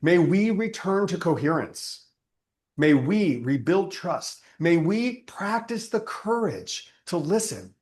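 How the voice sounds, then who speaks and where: A man with a fairly high voice leads a spiritual service. His pitch moves with lively variation through solemn, deliberate phrases, in the manner of prayer and teaching.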